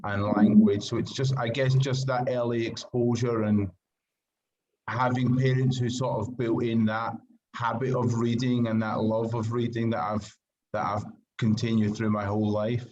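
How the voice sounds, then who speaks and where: A man's voice: medium pitch, little pitch movement, moderate pace, darkish tone, a little hiss or hum. A middle-aged man with a Scottish accent, answering casually as a writer being interviewed, heard through lo-fi call audio. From Glasgow, Scotland.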